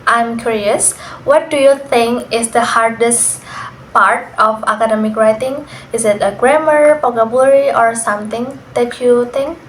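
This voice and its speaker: A young woman whose first language is Indonesian, answering and asking questions in English in a calm, measured way, with frequent "mm" and "uh" fillers. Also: on the high side. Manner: measured, careful, with many "mm" and "uh" fillers. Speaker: student interviewer and interviewee. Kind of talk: casual pair interview in English. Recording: echoey room, faint background noise.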